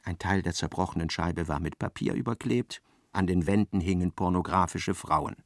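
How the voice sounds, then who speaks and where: An actor playing a dry storyteller, giving measured, precise literary narration in a radio play. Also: male voice, low voice, gravelly, dry recording.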